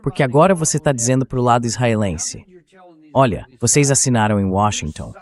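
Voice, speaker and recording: A man's voice: medium pitch, expressive, brisk. A man with a Brazilian accent reading a translated voice-over of political news commentary as the show's host.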